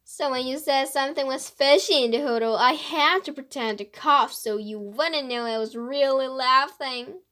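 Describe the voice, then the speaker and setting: A mischievous woman writer from a children's mystery, as voiced by a woman reader. Her voice is on the high side, and she explains herself with playful, animated emphasis. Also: moderate pace, few pauses. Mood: amused.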